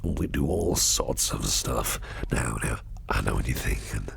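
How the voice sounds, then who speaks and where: A man playing a shady businessman boss in an ASMR roleplay, flirtatious and protective, murmuring in a whispery gravelly voice with slow, teasing deliberation. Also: rumbling low, animated, fairly bright tone, slight background noise, heavily acted. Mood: sly, probing. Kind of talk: ASMR roleplay, close personal attention.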